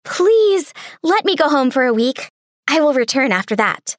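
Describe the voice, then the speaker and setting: A woman playing a kind, earnest young daughter in a children's fairy tale, speaking sweetly and gently. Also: high voice, big pitch swings, moderate pace, no echo, studio quality. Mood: worried, pleading.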